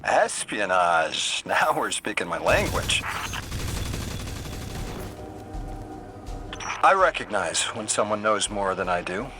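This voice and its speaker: A man's voice: on the low side, sing-song, measured pace, noisy background. An actor playing a polite, curious younger male scholar in a video game, earnest and a little hesitant. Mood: eager. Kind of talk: video game cutscene acting. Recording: loud music underneath. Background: loud cinematic music.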